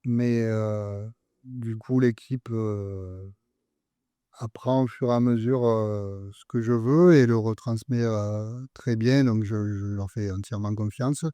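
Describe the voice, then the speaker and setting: A man, the guest head chef, talking in a relaxed, hesitant way in casual chat. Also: on the low side, takes it slowly, smooth, no echo.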